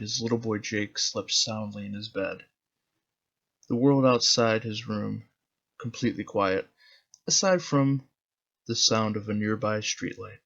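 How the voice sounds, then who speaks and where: Man, dramatic storybook narrator, creaky voice, slightly muffled recording, music behind. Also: on the low side, fairly bright tone. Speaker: author reading his own zine.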